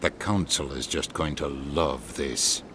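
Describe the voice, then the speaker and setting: An actor playing a blunt, impatient human ambassador in a video game, with a sardonic manner. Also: male voice, rumbling low, slow pace, background noise. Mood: sceptical, curt. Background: music.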